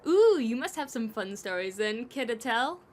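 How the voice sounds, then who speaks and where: Woman as a high-voiced, sardonic, teasing oddball creature. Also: sing-song, normal speed. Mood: playful teasing. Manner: wry, bantering, sarcastic. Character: sardonic, teasing, playful eyeless bloodless oddball.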